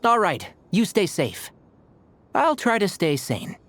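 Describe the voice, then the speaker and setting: A man's voice: sits high, huge pitch swings, slow pace, a bit bright, a little hiss or hum, heavily acted. An actor playing a dry, long-suffering young man in a video game, casual and wry. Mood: casual, resigned. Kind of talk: video game character voice acting.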